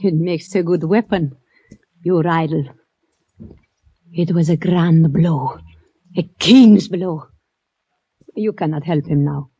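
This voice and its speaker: A woman's voice: sits low, slow pace, pauses often, warm tone, breathy edge, heavily acted. An actress playing a sullen, world-weary underworld woman in a radio drama, scornful and declamatory, on a lo-fi recording. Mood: cold, admiring. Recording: dull, lo-fi old radio recording.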